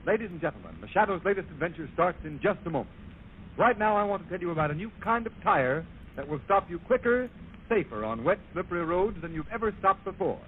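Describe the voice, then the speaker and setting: A man's voice: sits high, big pitch swings, fast. A man announcing formally in a declamatory 1930s radio style, reading scripted advertising and narration. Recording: noisy, tinny 1930s radio recording.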